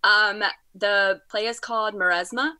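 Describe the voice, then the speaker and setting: A woman's voice: mid-range voice, steady tone, slow, sharp tone, clean tone, no room sound. A young woman, a student performer, casually and hesitantly explaining in a video-call interview. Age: young adult. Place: bedroom, indoors, on a video call.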